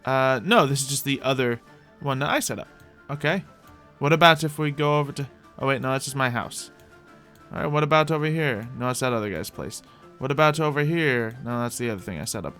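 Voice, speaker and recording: Male game host, casual and rambling, repeats himself, mid-pitched voice, music underneath. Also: brisk, a bit bright. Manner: rambling, repeats his own phrases. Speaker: host of a Minecraft roleplay video. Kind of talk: casual gameplay commentary, talking to viewers in light roleplay.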